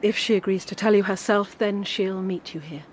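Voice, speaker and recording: A woman's voice: medium pitch, moderate pace, slight background noise, natural voice. An actress playing an anxious, guarded middle-aged woman, hesitant and pleading, in a period audio drama. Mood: sorrowful, secretive. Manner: hesitant, pleading, formally polite. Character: anxious, guarded older female guardian keeping a sorrowful secret.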